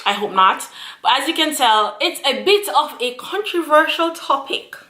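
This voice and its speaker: Woman, 30s, vlog host, casual chat, repeats phrases, bright voice, roomy echo. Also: high voice, expressive, moderate pace. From Jamaica. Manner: chatty, repeats phrases, frequent laughs and fillers. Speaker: YouTube channel host.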